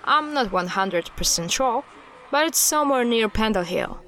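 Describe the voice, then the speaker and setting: A woman playing a brisk, secretive private investigator in audio drama dialogue. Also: on the high side, animated, fairly bright tone, a little hiss or hum. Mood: purposeful.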